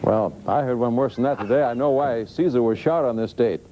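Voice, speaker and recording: Relaxed American TV weatherman. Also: medium pitch, brisk, a little hiss or hum. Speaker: TV weather forecaster. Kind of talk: chatty weather forecast presentation.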